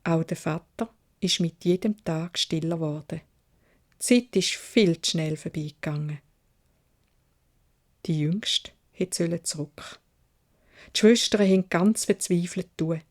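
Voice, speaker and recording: A woman's voice: on the low side, unhurried, pauses often, darkish tone. A woman with a Swiss German accent narrating a fairy tale for children in dialect, as a storyteller.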